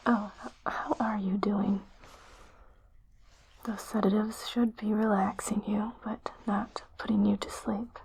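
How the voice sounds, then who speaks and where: A woman playing a calm, clinical spaceship doctor in an ASMR roleplay, whispering breathily in a soothing, gently inquisitive way. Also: mid-range voice, takes it slowly, slightly rough, heavily acted, low-quality recording. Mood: gently questioning. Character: calm, clinical female spaceship doctor examining an injured crewmate.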